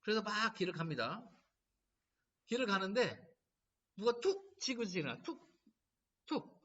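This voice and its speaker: Middle-aged man, laughter therapy lecturer, bright lively voice, distant lo-fi sound. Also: high-pitched, a little slow. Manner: lively storytelling, animated quoting. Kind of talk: lecture to caregivers. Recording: distant mic, dull lo-fi sound.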